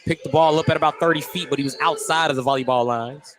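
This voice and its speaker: A man's voice: on the high side, fairly even intonation, quick pace, a bit bright, faint music behind. A man calling live basketball play-by-play for radio from a noisy indoor gym. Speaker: play-by-play announcer. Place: indoor school gymnasium. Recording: noisy gym ambience, bouncing balls.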